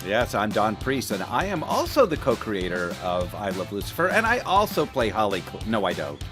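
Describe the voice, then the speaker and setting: A man co-hosting a podcast in casual chat, playful and a bit halting, with joking asides. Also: fairly low pitch, wildly swooping pitch, music in the background. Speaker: podcast co-host, co-creator and voice actor.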